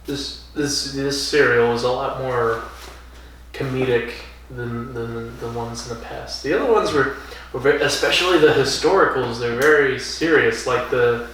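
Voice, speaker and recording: A man's voice: mid-range voice, moderate pace, fairly bright tone, slight background noise. Young man in his twenties, inquisitive co-host, very echoey room, far from mic. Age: 20s. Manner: curious, asks questions, laughs often. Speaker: podcast co-host. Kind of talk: casual chat. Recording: echoey room, distant mic, faint ambience.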